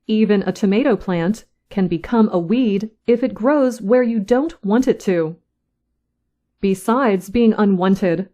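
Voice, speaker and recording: Slow-reading woman news reader. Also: mid-range voice, mellow. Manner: slow, careful, clear delivery. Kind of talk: slow scripted reading for learners.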